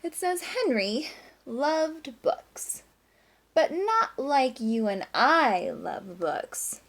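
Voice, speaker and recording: A woman teacher doing an animated read-aloud of a children's story, with chatty asides and questions to young listeners. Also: high-pitched, drawn-out words. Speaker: teacher reading aloud to class.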